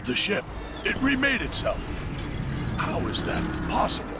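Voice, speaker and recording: A man's voice: high-pitched, very animated, unhurried. An actor playing a proud, noble and boastful male warrior hero in a video game, speaking in a formal, declamatory way. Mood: astonished. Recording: voice under loud game music.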